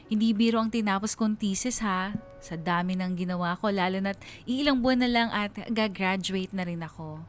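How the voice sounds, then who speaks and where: A woman's voice: medium pitch, normal speed, faint music behind, close to an everyday voice. An actress playing a young college woman narrating her love story in a sentimental, conversational Filipino radio drama. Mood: loving, content.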